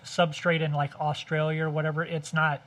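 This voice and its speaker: Rambling, bright-voiced male co-host. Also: on the high side, monotone, moderate pace. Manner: rambling, hedging with "I mean". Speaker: podcast co-host. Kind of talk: casual chat.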